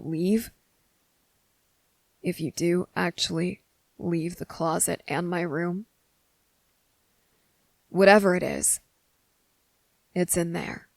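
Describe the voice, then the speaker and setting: A woman playing a wary, blunt but softening young boy in an ASMR roleplay, speaking deliberately with hesitant pauses. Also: on the low side, gravelly, no room sound, full character voice. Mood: impatient, wary. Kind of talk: ASMR roleplay audio drama.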